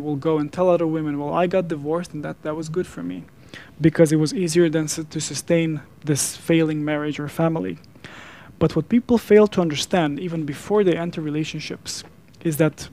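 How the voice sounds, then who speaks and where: A man with a Serbian accent, native in Serbian, speaks in a slightly high voice with a strong creaky edge. He delivers an earnest talk as a speaker and coach. His wording is formal and deliberate, set off by emphatic pauses. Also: darkish tone. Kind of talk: earnest public talk to a digital audience.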